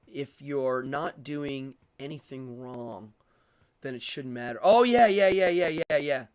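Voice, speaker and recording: A man hosting a live stream, talking casually and opinionatedly as he answers chat, rambling and repeating words in quick bursts. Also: mid-range voice, smooth. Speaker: live-stream show host.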